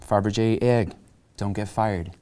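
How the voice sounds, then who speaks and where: A man's voice: lowish voice, fairly even intonation, unhurried, dark tone, natural voice. An actor at a script table read voicing a naive, eager young male junior realtor who hesitates and stammers.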